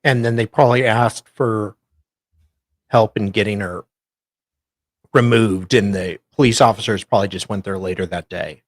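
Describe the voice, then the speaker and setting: A man's voice: lowish voice, fairly even intonation, moderate pace, long pauses, no echo. A man co-hosting a casual commentary, adding offhand, speculative asides. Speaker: co-host.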